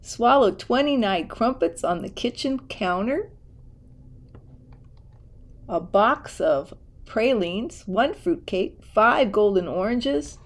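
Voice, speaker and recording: A woman reading a children's picture book aloud in a deliberate, expressive storytelling way, occasionally correcting herself. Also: mid-range voice.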